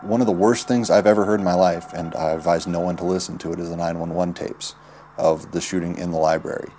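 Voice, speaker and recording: An American man recounting a memory in a halting, conversational way. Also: low voice, steady tone, dark tone, a little hiss or hum. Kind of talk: spoken recollection.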